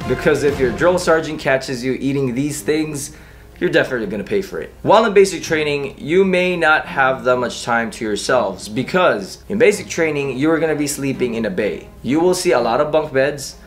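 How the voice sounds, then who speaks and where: Male soldier narrator, earnest scripted voice-over, encouraging, loud music bed behind. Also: medium pitch, animated, normal speed. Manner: earnest, encouraging, speaks straight to the viewer.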